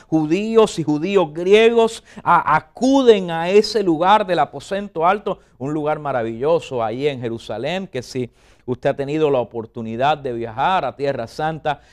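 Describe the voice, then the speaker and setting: Male pastor, fervent sermon delivery, high voice, talks right to the congregation. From Puerto Rico. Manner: fervent preaching, addresses listeners directly.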